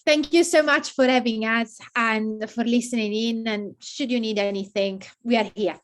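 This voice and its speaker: A woman's voice: fairly high pitch, fairly even intonation, moderate pace. A woman representing a college, speaking politely and in a friendly way over a video call.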